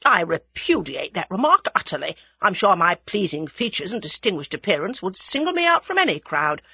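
A woman voicing a sensible, wry young English man in a dramatised audiobook, with a measured, sceptical manner. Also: on the low side, very animated, pushed and bright, slightly rough, no echo, heavily acted. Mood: wry, mock-offended. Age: young adult.

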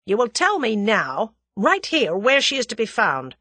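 A woman's voice: fairly high pitch, wildly swooping pitch, measured pace, bright, forceful tone, dry recording, voice pushed up, heavily acted. A woman voicing a brash, menacing young American millionaire with a blunt, slangy drawl in an audiobook. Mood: cheerful menace.

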